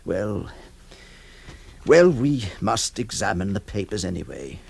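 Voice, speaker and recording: A stammering, flustered lawyer. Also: male voice, lowish voice, sing-song, slow, gravelly, a little mellow, slightly rough, full character voice. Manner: stammering, exasperated, theatrical. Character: flustered, hesitant older male lawyer. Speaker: narrator and lawyer employer.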